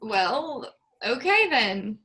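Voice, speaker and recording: A woman reading a patient, gently teasing grown daughter in a staged play reading. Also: fairly high pitch, big pitch swings, drawn-out words, heavily acted. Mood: gentle. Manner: soft, easygoing, gently teasing.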